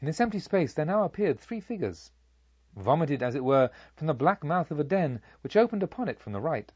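A man reading a Buddhist tale as an audiobook, with a solemn, measured, theatrical storytelling delivery. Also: a little high, big pitch swings, darkish tone, husky, dry recording, highs cut off. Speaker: audiobook narrator. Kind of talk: scripted literary narration of a Buddhist tale.

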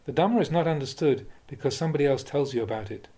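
A man, a Buddhist monk, reading spiritual teachings aloud in a measured, deliberate, instructive way, like an audiobook of a talk. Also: fairly high pitch, warm tone. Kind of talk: audiobook-style reading of a dharma talk with questions and answers.

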